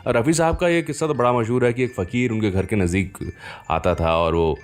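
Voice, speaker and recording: A man's voice: mid-range voice, brisk. A man with an Indian accent hosting a radio show, telling anecdotes in a chatty, conversational way and speaking directly to listeners. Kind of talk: conversational storytelling presentation of film music. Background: background music.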